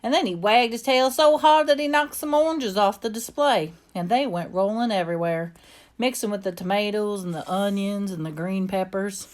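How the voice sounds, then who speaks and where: An earnest young Southern girl , played by a woman, tells her own story in a folksy, plainspoken way. The accent is Southern US, the pitch ordinary, and it has the feel of a children's storybook read aloud. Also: normal speed, close to an everyday voice. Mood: earnest, wondering. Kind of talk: first-person storybook narration.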